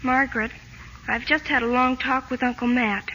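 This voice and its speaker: A high, piercingly bright American teenage girl, lovestruck and indignant, declaiming every feeling in old radio comedy style. The vintage recording has faint noise. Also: steady tone, normal speed, dull audio. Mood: earnest. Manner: theatrical, gushing, indignant. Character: melodramatic lovestruck teenage girl, younger sister.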